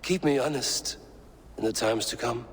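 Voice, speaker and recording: A man's voice: mid-range voice, lightly acted. Man as a solemn Viking leader, echoing hall. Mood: solemn, earnest. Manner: slow, earnest oratory. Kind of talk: dramatic video game cutscene acting. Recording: long hall reverb, faint noise.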